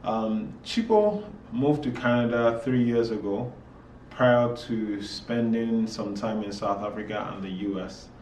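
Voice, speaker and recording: A man hosting an interview, speaking in a measured, polite way with frequent ums. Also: fairly low pitch, darkish tone, a little hiss or hum. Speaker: podcast host. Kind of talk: conversational interview.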